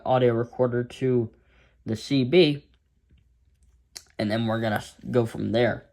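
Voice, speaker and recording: An American man giving a casual, unscripted product demonstration as an amateur reviewer, rambling and halting in an informal way. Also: medium pitch. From United States.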